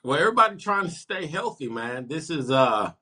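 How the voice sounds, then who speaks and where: A man co-hosting a casual talk show, speaking in a halting, hesitant way. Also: fairly high pitch, sing-song, pushed and bright. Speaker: co-host. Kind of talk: casual chat.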